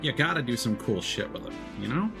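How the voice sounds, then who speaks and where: A man's voice: mid-range voice, animated, fairly quick, vocal fry. A man streaming a video game, giving casual, off-the-cuff commentary. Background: synth game music.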